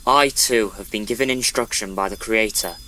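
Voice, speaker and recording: An actor playing an earnest, persuasive leader of celestial warriors in a fantasy audio drama, grave and reasoning. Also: male voice, fairly low pitch, normal speed, noisy background, natural voice.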